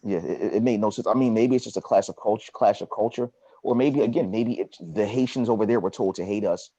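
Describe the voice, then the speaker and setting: A man's voice: fairly low pitch, fast talker, warm tone, dry recording. A man from New Jersey hosting a podcast interview over a video call, talking in a reflective, conversational way. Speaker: podcast host. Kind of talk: casual interview. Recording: muffled video call audio.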